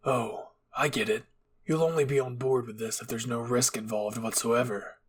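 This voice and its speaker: Man acting out a stern military captain, cold, sardonic, deadpan, curt, anime fan-fiction role. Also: medium pitch, normal speed, husky, natural voice.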